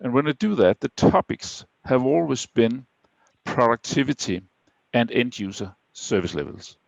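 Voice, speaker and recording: A man's voice: medium pitch, big pitch swings, moderate pace, creaky, dry recording, muffled recording. Danish-accented man giving a webinar talk. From Denmark. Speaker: webinar presenter.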